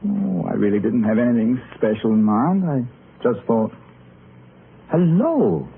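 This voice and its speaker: A well-mannered doctor in a vintage radio mystery, with a medium-pitched, hugely expressive voice. He speaks formally, through a narrow, crackly old broadcast. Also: measured pace, gravelly. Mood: polite, curious. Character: polite, curious male doctor, cultivated chess enthusiast. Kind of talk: old-time radio drama acting. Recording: noisy, narrow-band old radio recording.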